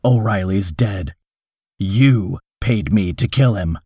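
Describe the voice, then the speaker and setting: A man's voice: on the low side, slow pace, a little mellow, slightly rough, no echo, clean studio sound, heavily acted. A man voicing a desperate hunted killer in a story reading, bitter, accusing and demanding.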